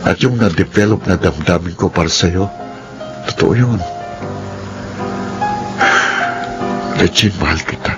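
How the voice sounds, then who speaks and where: A man's voice: sits low, fairly quick, highs cut off, natural voice. A man with a Filipino accent acting an earnest, tender young suitor in a romantic drama, with a pleading, sweet-talking manner. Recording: music bed under dialogue.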